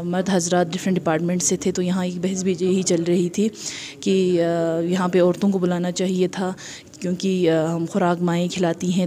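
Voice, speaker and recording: A woman's voice: medium pitch, mellow. A woman in her thirties with a Pakistani accent gives an informal awareness statement into a handheld mic, hesitating often and mixing in English terms. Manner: hesitant, with frequent filled pauses and English terms mixed in. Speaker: livestock department representative. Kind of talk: informal public-awareness interview statement to camera. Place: indoor event hall, in front of a banner. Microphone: handheld reporter microphone.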